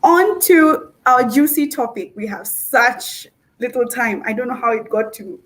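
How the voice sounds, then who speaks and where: A woman's voice: a little high, measured pace, rough recording. A woman hosting a livestream in an informal, effusive and enthusiastic way. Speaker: livestream host.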